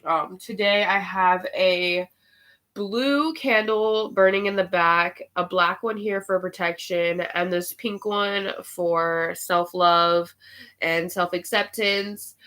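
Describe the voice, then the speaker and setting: A woman with a cold and a nasal voice, unhurried and full of "um" fillers, giving spiritual guidance and leading a meditation on a live stream. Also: lowish voice, steady tone, a bit bright. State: sick with a cold.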